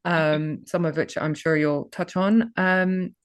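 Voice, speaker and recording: A woman hosting a webinar, introducing a guest in a friendly, halting way with many fillers. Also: lowish voice, steady tone, dry recording. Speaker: webinar host.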